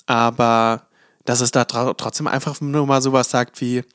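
A man's voice: mid-range voice, little pitch movement, normal speed, a bit bright, smooth, no room sound. A man co-hosting a podcast, talking in loose, rambling sentences full of hedges. His voice sits close and dry on the mic with no room sound. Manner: rambling, many fillers and hedges. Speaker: podcast co-host. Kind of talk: casual chat.

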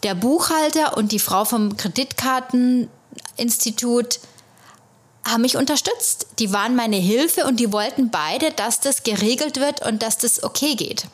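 A woman's voice: fairly high pitch, lively intonation, unhurried. Chatty German woman podcast host. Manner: chatty, rambling, with many hesitations. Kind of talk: casual solo podcast storytelling.

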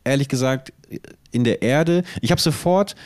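A man's voice: medium pitch, moderate pace, darkish tone, dry recording, studio quality. A man co-hosting a casual comedic podcast, rambling through absurd ideas in an ironic way. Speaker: podcast co-host.

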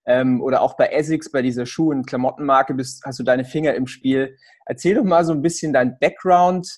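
Eager podcast host guy, bit breathy voice, drops english buzzwords. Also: medium pitch, fairly even intonation, a little fast, a little mellow. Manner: enthusiastic, casual, uses English buzzwords. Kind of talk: podcast interview.

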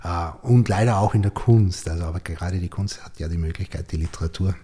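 A man's voice: deep voice, fast, warm tone. A man hosting a podcast interview, thinking aloud in a reflective way and often ending his points with a questioning tag. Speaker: podcast host.

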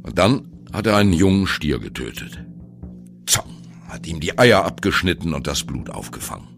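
A man's voice: sits low, big pitch swings, normal speed, gravelly, heavily acted. An actor playing a sardonic jazz musician in a radio play, confiding his absurd story to the listener in a dramatic, wry manner. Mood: wry storytelling. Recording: music behind the voice.

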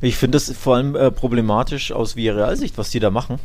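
A man with a medium-pitched voice hosts a casual football podcast. He talks in a colloquial, opinionated way. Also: fast talker, darkish tone, slight background noise. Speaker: podcast host.

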